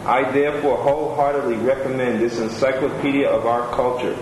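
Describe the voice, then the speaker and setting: A man's voice: mid-range voice, fairly even intonation, brisk. Man reading reviews aloud in an echoey room. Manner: reads steadily, adds brief asides. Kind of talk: reading aloud in informal conversation. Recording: echoey room with loud noise.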